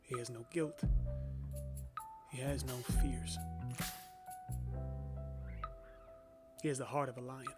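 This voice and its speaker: A man's voice: mid-range voice, steady tone, over loud music, close to an everyday voice. An actor playing an earnest young man who was wrongfully jailed, speaking conversationally in a first-person radio play testimony. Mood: solemn, proud. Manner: halting, conversational, with small repetitions.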